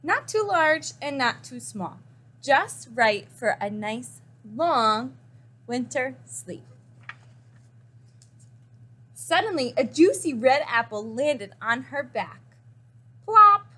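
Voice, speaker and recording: High-voiced woman reading a children's story. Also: lively intonation, slow, long pauses, fairly bright tone, slightly rough. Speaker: story reader. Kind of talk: expressive children's picture book read-aloud.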